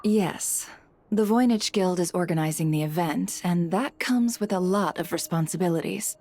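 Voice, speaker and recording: A woman voicing a poised, shrewd female guild leader in a video game, composed, deliberate and businesslike. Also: lowish voice, a little mellow, natural voice. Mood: composed explaining. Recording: music behind the voice.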